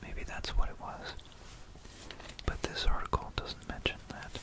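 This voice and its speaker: An ASMR presenter narrating history in a hushed, unhurried whisper, repeating words and pausing mid-phrase, close to a stereo microphone. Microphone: close stereo microphone for binaural ASMR.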